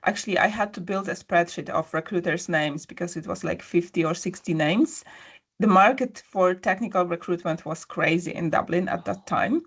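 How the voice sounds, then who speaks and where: A woman's voice: on the low side, moderate pace, slightly rough. A woman guest, telling a personal story in a conversational interview with many fillers. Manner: storytelling, frequent fillers and restarts.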